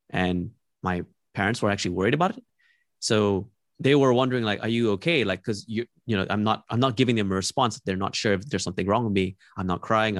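Young man from Malaysia, low voice, dry close sound, reflective interview guest. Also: dry recording. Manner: thoughtful, measured, frequent "like" and restarts. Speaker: podcast guest.

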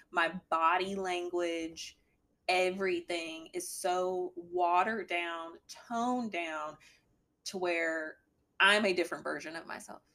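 A young adult woman with a slightly deep voice, a guest talking candidly with a dry sense of humor. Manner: candid, dry humor, mimics a bright work voice. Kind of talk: casual chat.

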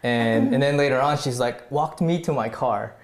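A man whose first language is Tagalog talks through a personal story with a gravelly undertone. He speaks earnestly and keeps restarting his sentences with many fillers along the way. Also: medium pitch, moderate pace. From Philippines. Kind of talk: casual faith conversation, personal story.

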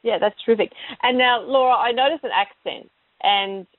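A woman's voice: a little high, normal speed, dry recording. Encouraging woman podcast host, dry lo-fi sound. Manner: encouraging, lots of short affirmations. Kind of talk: interview hosting.

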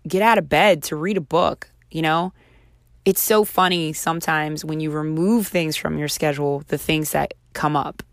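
A woman with a raspy voice recovering from laryngitis, chatting casually and encouragingly on her solo podcast. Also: deep voice, dry recording, studio quality. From Houston, Texas. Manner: chatty, encouraging, self-reflective. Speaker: podcast host.

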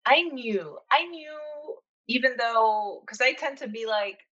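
A woman's voice: on the high side, takes it slowly, fairly bright tone, lo-fi sound. A young woman from Venezuela telling a personal story in casual conversation, candid and full of fillers.

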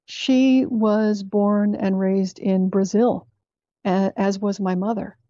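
A woman speaking as an interview guest, reflective and measured as she tells her own story. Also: mid-range voice, steady tone, dark tone, dry recording, lo-fi sound. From Canada. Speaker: therapist and writer, interview guest. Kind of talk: conversational interview, telling personal story.

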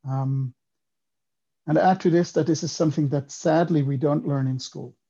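A man in his fifties from Switzerland, a guest on a podcast, telling his story in a reflective, unhurried way. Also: on the high side, fairly even intonation, mellow, slightly rough, highs cut off. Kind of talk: casual interview talk.

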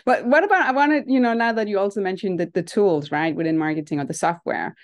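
A woman hosting a podcast interview, encouraging and quick to agree with her guest. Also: mid-range voice. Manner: encouraging, frequent backchannel agreement, laughs along. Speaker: podcast host.

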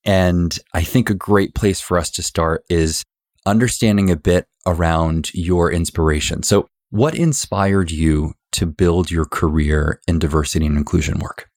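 A man with a deep, low voice hosts a workplace podcast. He opens with a scripted welcome and then moves into earnest, conversational questions for his guest. The sound is dry and close. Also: a little slow, darkish tone, no room sound. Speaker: podcast host.

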